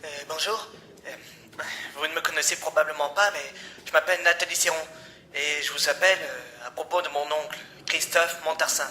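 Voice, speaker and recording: Anxious niece on the phone played by a man; hesitant, polite, slightly high. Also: moderate pace, sharp tone. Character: worried young woman searching for her uncle.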